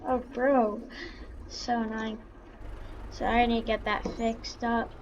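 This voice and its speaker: A woman doing casual, halting gameplay roleplay commentary for videos, in an informal, unscripted way. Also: a little high, little pitch movement. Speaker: gaming YouTuber. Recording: dull lo-fi mic, faint background noise. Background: vehicle engine sounds from the game.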